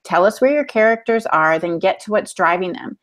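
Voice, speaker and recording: A woman's voice: mid-range voice, animated, fairly quick. Playful, sarcastic woman on a podcast panel. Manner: playful, mock-offended sarcasm. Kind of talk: casual, bantering panel chat.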